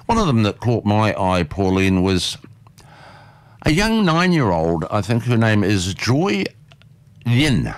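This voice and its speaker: Male radio host, lowish voice, animated delivery, dry studio sound. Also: slow, dry recording. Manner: chatty, repeats words, animated. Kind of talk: casual radio interview. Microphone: studio mic.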